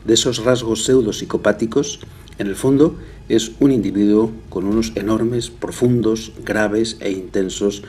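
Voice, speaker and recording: A man's voice: lowish voice, steady tone, measured pace, darkish tone, a little hiss or hum. A man giving emphatic expert commentary as a forensic psychiatry doctor, piling up words for weight. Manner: emphatic, piles up adjectives and repetitions.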